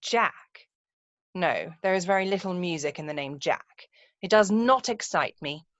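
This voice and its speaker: A woman's voice: on the low side, lively intonation, normal speed, dry recording, natural voice. A woman playing a self-assured, romantic young upper-class lady in an amateur play read-through, speaking precisely and emphatically over a video call. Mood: firm, certain. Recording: muffled, dull video-call audio.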